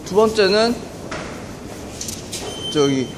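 A man giving a casual, off-the-cuff running commentary on a station tour, halting and repeating himself. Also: sits high, lively intonation. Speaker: rail enthusiast filming a video. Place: on a train and in a railway station. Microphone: handheld camera or phone. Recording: loud train and station noise. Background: trains, station ambience.

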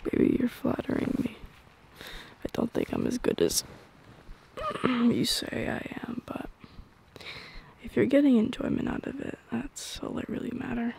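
A woman doing an intimate girlfriend roleplay in ASMR style, soft and breathy, often dropping into a whisper, with a playful, dorky manner. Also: fairly high pitch, wildly swooping pitch, measured pace, pauses often, slight background noise, low-quality recording.

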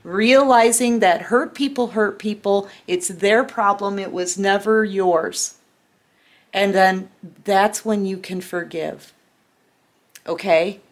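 A woman's voice: mid-range voice, steady tone, slow pace. A woman tarot reader speaking earnestly and emphatically to her viewers, addressing the listener directly and often checking in. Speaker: tarot reader and channel host. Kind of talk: intimate spoken tarot reading to camera. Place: indoor room at a tarot table.

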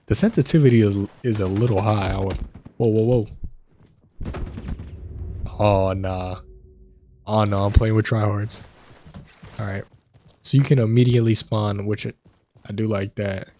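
A man with a stuffy nose from a cold, hosting casual gameplay commentary, chatty and prone to excited outbursts. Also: on the low side, unhurried, pauses often, slight background noise. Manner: chatty, addresses viewers as fellas, excited outbursts. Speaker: gaming channel host. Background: faint game gunfire.

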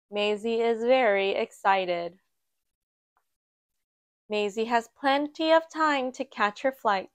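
A woman reading a children's picture book aloud in a gentle, expressive storytime voice. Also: on the high side, dry recording. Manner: slow, gentle and expressive, lifting for quoted lines. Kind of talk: read-aloud storytime narration. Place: indoors at a table, book filmed from above.

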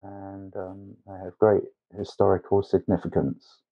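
A man narrating a painting tutorial step by step as a tutor, unhurried and with hesitant pauses. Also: deep voice, little pitch movement, phone-quality sound. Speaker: watercolour tutor.

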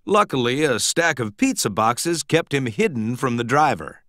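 A man reading a children's storybook aloud in an expressive, dramatic, emphatic storytelling manner. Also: medium pitch, moderate pace, no room sound, studio quality. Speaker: storybook narrator.

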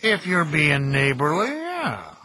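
Birdsong and outdoor noise surround a kindly, folksy American caretaker in his fifties. His voice sits a little high and moves around freely. He talks in a plain, neighborly way, as in a radio play. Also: huge pitch swings, slow pace, pushed and bright, exaggerated voice. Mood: teasing, earnest. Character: folksy, kindly middle-aged graveyard caretaker who chats with the dead. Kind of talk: audio drama acting. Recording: noisy outdoor ambience with birdsong.

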